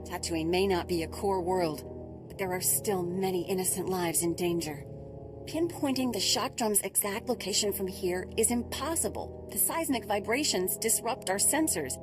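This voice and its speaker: A woman's voice: medium pitch, moderate pace, music in the background, natural voice. A woman playing a compassionate, earnest research scientist in a video game, articulate and explanatory. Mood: urgent. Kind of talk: video game cutscene acting.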